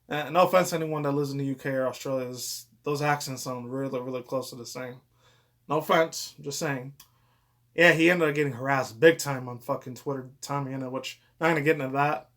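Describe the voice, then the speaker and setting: A man's voice: mid-range voice, fairly even intonation, fairly quick, a bit bright. A young man giving rambling, casual commentary as a vlogger, slangy, digressive and full of swearing.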